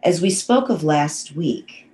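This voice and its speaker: A woman's voice: deep voice, lively intonation, a little mellow. A woman channeling a solemn male spirit teacher. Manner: slow, measured, formal address. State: nasal from a cold.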